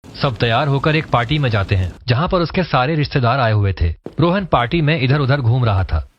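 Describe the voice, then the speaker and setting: A man's voice: medium pitch, animated, a little fast, dull audio. A man narrating a scripted cartoon story as its storyteller.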